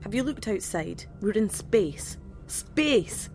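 A woman voicing an anxious, sarcastic young British abductee who feels sick and on edge. A clear music score runs under her lines in this audio drama. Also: medium pitch, expressive, takes it slowly, darkish tone.

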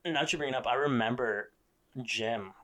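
A young man in his twenties chatting on a podcast in a mid-range voice. He tells stories in a relaxed way and teases his co-host often. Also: mid-range voice, moderate pace. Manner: relaxed, teasing, storytelling. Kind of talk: casual chat.

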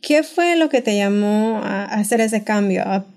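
A woman co-hosts a relaxed podcast conversation. She listens closely, drops short affirmations, and asks long questions in an even voice. It is recorded close on a studio microphone. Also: medium pitch, unhurried. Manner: supportive, asks questions, many short backchannels. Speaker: podcast co-host.

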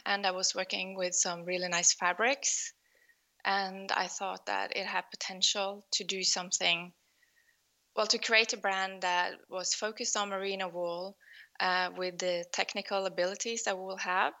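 A middle-aged Norwegian woman with a Norwegian accent, a designer being interviewed over an online call, pausing often with fillers as she thinks. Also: medium pitch, level tone, sharp tone. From Norway. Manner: thoughtful, pauses often with fillers, light laughs. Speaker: guest, fashion designer. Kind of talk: remote interview conversation.

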